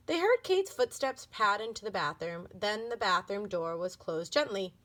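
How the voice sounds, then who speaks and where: A woman reading a children's book aloud as an expressive storybook narrator. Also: medium pitch, moderate pace.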